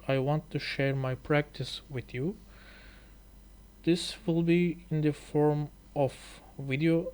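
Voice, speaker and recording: A man from Turkey with a Turkish accent, a YouTuber learning English who talks and reads aloud hesitantly, with many pauses and fillers. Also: medium pitch, darkish tone, smooth. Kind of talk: halting casual reading vlog.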